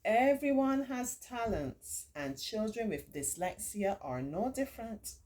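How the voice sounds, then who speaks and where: A middle-aged woman hosting a children's storytime, reading aloud and adding reassuring, encouraging teaching asides with drawn-out emphasis. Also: on the high side, big pitch swings, a little mellow. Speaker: children's storytime host and remedial tutor.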